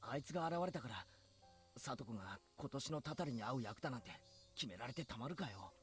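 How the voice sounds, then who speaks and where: A teenage schoolboy from a visual novel, acted by a man, brusque and hot-headed. His mid-pitched voice races along with rough boyish phrasing. Faint music plays behind him. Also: expressive, very fast, fairly bright tone, lightly acted. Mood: angry, defiant. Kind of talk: Japanese visual novel voice acting.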